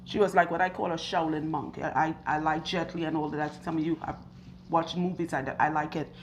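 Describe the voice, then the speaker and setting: A woman loctician with a husky, hoarse voice, talking slowly and earnestly to camera while interviewing a client in her salon. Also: low voice, little pitch movement, a little hiss or hum. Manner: earnest, chatty, asks questions and recounts stories. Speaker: loctician and channel host. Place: hair salon.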